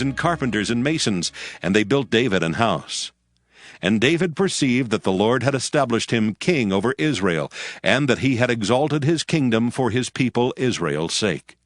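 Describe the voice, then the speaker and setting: A man narrating a dramatized scripture audiobook in a solemn, measured storytelling manner. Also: mid-range voice, expressive, a bit bright, dry recording, studio quality, soft background music.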